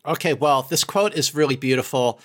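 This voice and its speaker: A man's voice: medium pitch, fairly quick, a bit bright. A middle-aged man speaking as a guest critic in a podcast discussion, effusive and emphatic, often repeating words to drive a point. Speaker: guest film critic and entertainment journalist.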